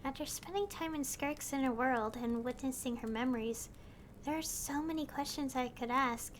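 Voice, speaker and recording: A woman's voice: high-pitched, normal speed, slight background noise, natural voice. An actress playing a curious, thoughtful young female traveler who asks questions and muses to herself. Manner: thoughtful, inquisitive.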